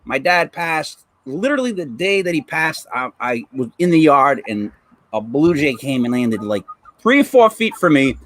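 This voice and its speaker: A man's voice: on the high side, big pitch swings, normal speed, a bit bright. A man co-hosting a podcast, chatting casually and telling stories with lots of fillers. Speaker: podcast co-host. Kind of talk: casual chat.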